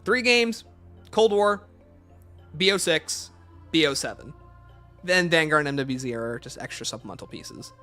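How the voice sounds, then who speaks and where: A man's voice: on the high side, expressive, normal speed, long pauses, sharp tone, soft background music. A man hosting a livestream, casually reading out questions and answering them with emphatic opinions.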